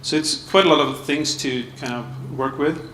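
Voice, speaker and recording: A man giving a conference panel talk, halting with restarts and many uhs. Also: on the high side, fairly even intonation, sharp tone. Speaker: panel speaker, architect. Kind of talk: conference presentation. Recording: echoey hall, mic off at a distance, faint room noise.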